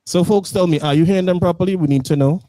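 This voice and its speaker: A man's voice: high voice, fast talker, warm tone, no echo. A man co-hosting a casual talk show, upbeat and checking in with listeners. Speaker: co-host.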